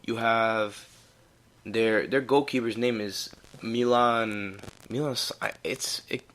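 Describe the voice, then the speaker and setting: A man's voice: on the low side, steady tone, takes it slowly, a bit bright. A young man casually talking through a solo sports recap, chatty and rambling with laughs. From United States. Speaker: podcast host.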